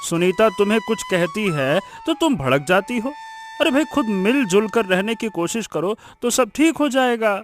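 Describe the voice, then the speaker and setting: A man voicing a weary, exasperated village farmer husband in an animated story, pleading in a melodramatic way. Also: high-pitched, normal speed, soft background music. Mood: placating.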